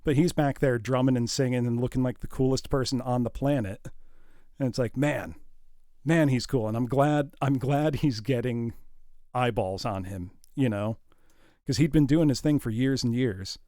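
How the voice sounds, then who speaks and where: Male streamer, mid-range voice, informal and enthusiastic, thinks out loud, very dry close sound. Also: medium pitch, normal speed, no room sound. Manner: informal, enthusiastic, musing aloud. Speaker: livestream host. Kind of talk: casual livestream chat.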